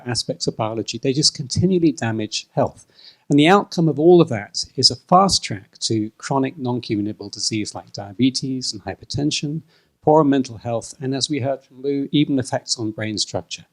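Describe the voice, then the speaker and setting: A man addresses a live event audience from a panel, laying out an explanation in a measured, unhurried way. He leans on small fillers as he goes, keeping his pitch in an ordinary middle range. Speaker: panel speaker.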